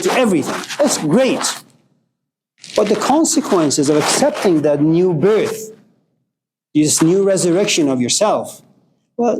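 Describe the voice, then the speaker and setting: Man, middle-aged, from Iran, lecturing, fairly high voice, emphatic bursts, faint hiss. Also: a little mellow, poor audio. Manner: passionate, swearing, rising to emphatic outbursts. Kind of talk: informal philosophy lecture.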